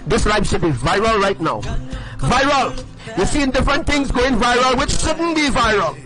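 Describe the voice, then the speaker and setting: High-voiced man preaching with fervent repetition. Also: lively intonation, normal speed, over music, poor audio. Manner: emphatic and repetitive, asks the audience "right?". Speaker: livestream preacher. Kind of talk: impassioned livestream preaching.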